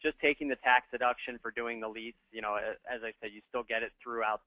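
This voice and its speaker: A man explaining equipment financing in a steady, plain way during a webinar. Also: medium pitch, fast talker, no room sound. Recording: tinny phone-like line.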